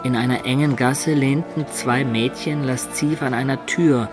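A man's voice: mid-range voice, fairly even intonation, unhurried, natural voice. An actor playing a medieval adventurer who recounts his own tale as narrator of a radio play. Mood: storytelling. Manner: descriptive storytelling. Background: music behind the voice.

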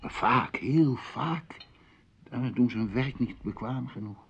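An actor in a Dutch radio drama playing an intrusive, flattering musician who is a dinner guest with secret desires. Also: male voice, mid-range voice, takes it slowly, husky. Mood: insinuating. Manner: flattering, florid, provocative. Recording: muffled, noisy old radio play recording.

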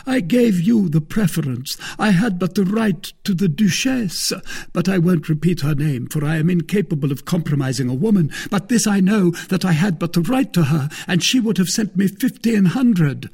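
A man's voice: high-pitched, animated, normal speed, vocal fry, darkish tone, breathy edge, voice pushed up, full character voice. A man voicing a vain, boastful male musketeer who declaims grandly and pompously. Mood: aggrieved. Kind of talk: audiobook character reading.

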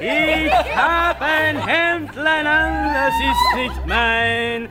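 A man's voice: very high voice, huge pitch swings, extremely slow, heavily acted. An actor playing a drunken, boisterous journeyman in a radio play, bawling pompously and half singing. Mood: rowdy, maudlin. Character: drunken, boisterous journeyman mock-preaching in a tavern. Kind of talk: German radio play acting. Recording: loud music and rowdy crowd behind.

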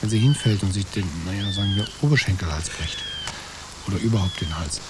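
A man's voice: low voice, lively intonation, quick pace. An actor playing a sardonic, pompous, self-righteous conspirator who complains as he lies in wait in a satirical radio play. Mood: anxious doubt. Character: grumbling, self-righteous male conspirator lying in wait outdoors. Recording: loud rain noise behind voice.

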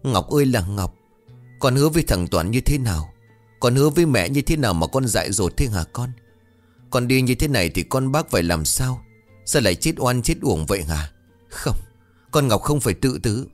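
Audio-story role: older village woman, sharp-tongued, ringing and accusatory, raised and swooping pitch, music underneath male narrator acts her out. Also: sing-song, moderate pace, lightly acted. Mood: wailing grief. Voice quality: loud, ringing. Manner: wailing, accusatory. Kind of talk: dramatic audio-story dialogue.

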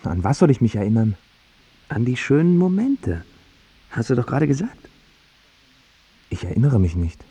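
An actor playing a confused, dying man with failing memory, husky and stubbornly repetitive, in a German radio drama. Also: mid-range voice, wildly swooping pitch, moderate pace, long pauses, mellow, dry recording.

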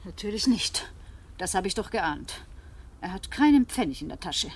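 A woman's voice: medium pitch, lively intonation, normal speed, breathy edge. An actress playing a nervy, cold-blooded woman who talks to herself theatrically in a 1970s German radio play. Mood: scornful, scheming. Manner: theatrical, talking to herself in exclamations. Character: Françoise, cold-blooded nervous young Parisian blackmail victim turned killer.

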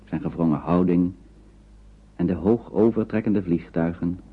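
An actor narrating a radio play as a grave storyteller, measured and literary. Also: male voice, sits low, slightly rough. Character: grave male storyteller recounting strange dream scenes. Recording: tinny, noisy old radio play recording.

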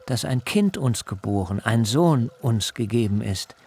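A troubled male servant of an ancient household, tells his tale in a radio drama. The voice is gravelly and creaky, moving slowly and solemnly, with faint noise behind it. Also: mid-range voice, animated, darkish tone. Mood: solemn, shaken. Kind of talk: literary radio drama narration.

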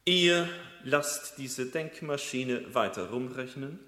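An actor playing a brash, aggrieved philosopher in a comic radio drama, talking colloquially like a blustering union agitator. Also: male voice, medium pitch, lively intonation, slow pace, bright, forceful tone. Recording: echoey hall reverb.

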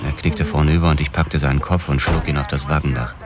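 A man's voice: rumbling low, flat delivery, quick pace, over music. An actor playing a tough, grim cop who narrates his own story tersely in a noir radio drama. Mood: grim, matter-of-fact. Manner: terse, matter-of-fact.

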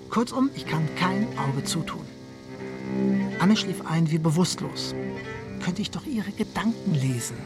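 A man's voice: on the high side, close to an everyday voice. An actor playing a jealous, self-ironic husband, narrating his story in a literary radio drama with theatrical, brooding delivery. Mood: brooding, wry.

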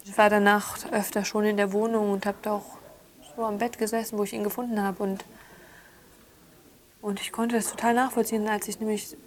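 An actress playing a hurt, candid thirty-year-old daughter in natural, improvised-sounding radio drama dialogue. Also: female voice, medium pitch, level tone, brisk, natural voice. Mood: hurt, reflective. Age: 30s.

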